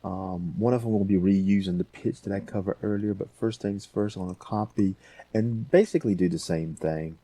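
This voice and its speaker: A man hosting a casual software tutorial, talking informally and thinking aloud as he goes. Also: low-pitched, normal speed. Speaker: audio editing tutorial host.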